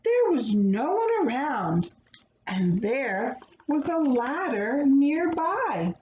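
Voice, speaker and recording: A woman reading a children's book aloud in an expressive, dramatic way, as if explaining to young listeners. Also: high-pitched, takes it slowly, highs cut off. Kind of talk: expressive storybook read-aloud with asides explaining words. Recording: roomy echo, clear background noise.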